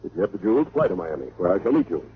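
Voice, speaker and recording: A guarded, formal male executive in a vintage radio detective show. His low voice moves widely in pitch as he picks his words with some hesitation. The sound is muffled and narrow. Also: very animated, racing, slight background noise. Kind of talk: 1940s-50s radio drama acting.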